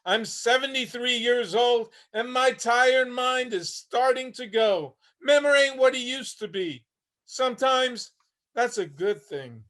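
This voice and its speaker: Male actor as weary 73-year-old homeless war veteran, sardonic and blunt, very high-pitched for a man, stage play reading. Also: measured pace, fairly bright tone. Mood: wry, bitter. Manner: wry, blunt, streetwise. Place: remote video-call reading.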